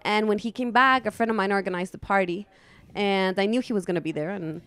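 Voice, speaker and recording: A woman, speaking as an interview guest. Her voice is smooth and clear, and the recording is close and dry. She talks warmly and laughs easily. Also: medium pitch, a little fast, no echo. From Ecuador. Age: 30s. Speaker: guest, trail runner. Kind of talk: interview chat. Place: pop-up store at a race event.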